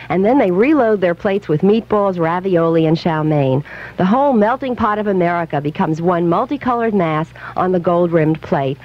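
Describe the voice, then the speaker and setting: A woman reading a scripted, humorous radio commentary as a food and leisure columnist, wry and rhetorical. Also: fairly low pitch. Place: radio studio. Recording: slightly dull 1970s radio recording.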